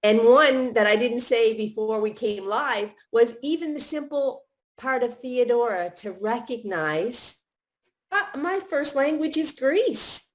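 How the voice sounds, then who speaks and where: Middle-aged woman, host, slightly high voice, loose run-on conversational delivery. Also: animated, slow pace. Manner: warm-hearted, talks in long run-on thoughts with restarts. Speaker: podcast host. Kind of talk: casual live chat.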